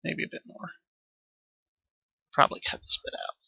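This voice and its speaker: A man hosting a let's play with casual, improvised commentary, rambling and thinking out loud as he goes. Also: normal speed, dull audio, a little hiss or hum.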